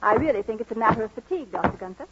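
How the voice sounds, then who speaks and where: An actress playing a brisk, skeptical woman doctor, firm and clinical, in a radio romantic comedy. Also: a little high, lively intonation, very noisy, lightly acted. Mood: dryly clinical. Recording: dull lo-fi old radio recording.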